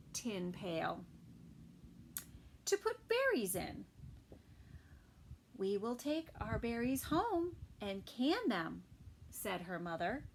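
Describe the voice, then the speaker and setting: Woman, preschool story time, slow read-aloud, soft asides, lively pitch. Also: a little hiss or hum. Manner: gentle and slow, dropping to soft asides and whispers. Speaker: preschool teacher reading aloud.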